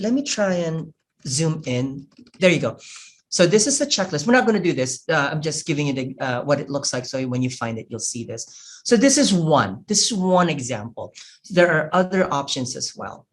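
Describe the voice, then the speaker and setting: A workshop facilitator from the Philippines, whose first language is Tagalog, giving an earnest, measured webinar presentation over a video call while walking through slides. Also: medium pitch, breathy edge.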